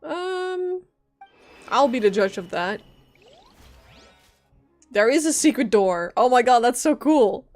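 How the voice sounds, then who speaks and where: A woman livestreaming a game, giving casual, expressive commentary and reading text aloud, with a lingering cough. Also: fairly high pitch, takes it slowly, pauses often, faint music behind.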